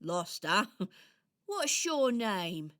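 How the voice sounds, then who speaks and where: Woman voicing brash little robin, cheeky, bossy, bright forceful voice, punchy delivery, children's radio play. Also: mid-range voice, very animated, extremely slow, heavily acted. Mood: cheeky, challenging. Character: cheeky, blustering robin guarding its garden, brave, kind-hearted. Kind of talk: audio drama for children.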